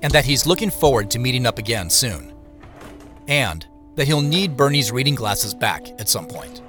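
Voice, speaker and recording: Male actor doing wary narrator guy for an audio drama, bright clear-cut tone, lots of pitch movement, music behind. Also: lively intonation, moderate pace, lightly acted. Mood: measured, wary. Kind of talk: scripted first-person present-tense narration.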